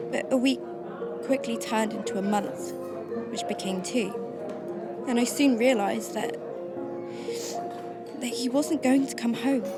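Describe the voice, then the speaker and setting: A woman playing a grieving mother searching for her missing husband in a radio drama, with a halting, emotional delivery. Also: high voice. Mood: subdued, sorrowful. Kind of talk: radio drama acting and first-person narration. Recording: music under the voice.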